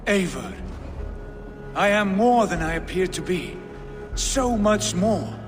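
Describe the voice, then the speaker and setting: An actor playing an awestruck, prophetic Viking leader in a video game, speaking in a halting, portentous way. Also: male voice, sits high, expressive. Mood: entranced, reverent. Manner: slow, portentous, halting delivery. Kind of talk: dramatic video game cutscene acting. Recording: long reverb under loud music.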